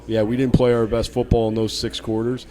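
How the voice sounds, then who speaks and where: A man's voice: mid-range voice, flat delivery, brisk. A man who coaches a college football team, talking candidly in a media day interview, often repeating and restarting words. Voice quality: loud voice when needed. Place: hotel at a media event.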